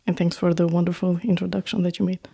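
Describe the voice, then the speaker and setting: A woman with an Albanian accent, a native Albanian speaker, talking as an interview guest in a thoughtful, halting, reflective way. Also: on the low side, little pitch movement, vocal fry, warm tone, slightly rough. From North Macedonia, Albanian family. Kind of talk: reflective casual conversation.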